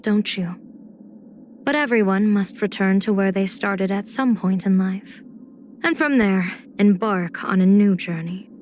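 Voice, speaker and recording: A woman playing a playful, scheming, poised general and diviner in a video game, speaking in measured, teasing, elegant phrases. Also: mid-range voice, a little hiss or hum, natural voice. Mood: playful, intrigued. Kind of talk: video game character voice acting.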